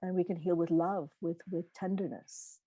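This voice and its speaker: A woman's voice: lowish voice, fairly even intonation, moderate pace, dark tone, no echo. A middle-aged woman whose first language is Gujarati, answering questions informally as a keynote speaker in an encouraging, earnest way. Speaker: keynote speaker, literary publisher.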